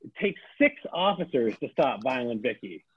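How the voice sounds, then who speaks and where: A man reads the stage directions of a table read in a plain, reading-aloud way. His voice is husky and lively in pitch. The sound is thin and phone-like. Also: normal speed.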